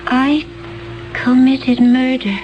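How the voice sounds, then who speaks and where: An otherworldly young assassin. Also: female voice, high-pitched, fairly even intonation, lo-fi sound, faint music behind. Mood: detached. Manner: slow, measured, detached. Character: calm, otherworldly young woman assassin from another planet. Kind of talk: 1970s radio drama acting.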